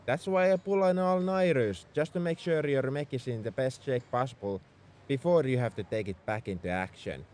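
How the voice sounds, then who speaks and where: A man's voice: mid-range voice, normal speed, darkish tone, lightly acted. A man playing a young tsundere spaceship mech engineer in an audio roleplay, defensive about his feelings as he speaks straight to the listener. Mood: upset, caring. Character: gruff-caring tsundere male mech engineer, young adult. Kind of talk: ASMR roleplay acting, addressed to the listener.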